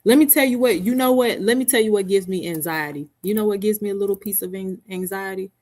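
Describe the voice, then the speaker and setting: A woman's voice: medium pitch, fast talker, a little mellow. A middle-aged woman hosting a casual live stream from home, chatting with viewers by name and laughing often. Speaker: livestream host. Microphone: headset mic.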